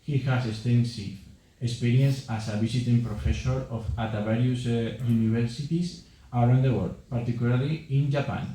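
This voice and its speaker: A man giving a halting formal introduction of a guest lecturer. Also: mid-range voice, fairly even intonation, mellow. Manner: halting, stumbles over names. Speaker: event host introducing the speaker. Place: large echoing lecture hall. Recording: echoey hall, faint room noise.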